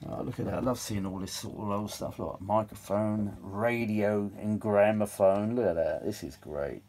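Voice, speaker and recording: A man's voice: fairly low pitch, moderate pace, mellow, a little hiss or hum. A British man chatting casually and ramblingly as he works on his hobby, in a grumbling, world-weary, mildly sweary manner. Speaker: vintage audio repair hobbyist presenting his own videos. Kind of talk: casual rambling workbench chat.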